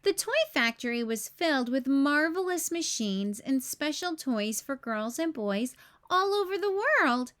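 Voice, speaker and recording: A woman hosting a read-aloud, narrating a children's storybook in an expressive, careful storytelling style. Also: high-pitched, measured pace.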